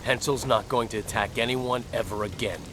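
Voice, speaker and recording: A man's voice: medium pitch, steady tone, brisk, noisy background, natural voice. A man acting a big, cautious assassin henchman in a video game, speaking in a guarded, plain way. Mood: easygoing. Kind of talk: dramatic video game dialogue. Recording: loud music over the voice.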